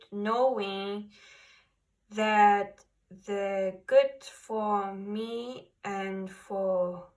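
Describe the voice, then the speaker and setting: Female tarot reader, non-native English, slow halting spiritual delivery, recorded off-mic at a distance. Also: mid-range voice. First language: not English. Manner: halting, searching for words. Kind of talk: spiritual card reading to camera.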